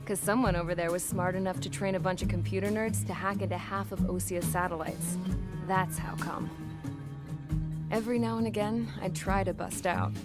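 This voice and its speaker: Game cutscene narrator: tough, sardonic female convict mechanic, played by an actress, lowish voice, blunt and slangy, loud score underneath. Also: fairly even intonation, normal speed, natural voice. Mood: wry, bitter. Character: cynical, foul-mouthed female prisoner mechanic, tough and wry. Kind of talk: scripted first-person cutscene narration. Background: loud game soundtrack music.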